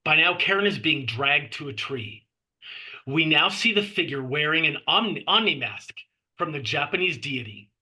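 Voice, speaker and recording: A man's voice: fairly high pitch, expressive, moderate pace, pushed and bright, low-quality recording. A man reading screenplay action lines and scene headings as narrator at a table read. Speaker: stage directions reader.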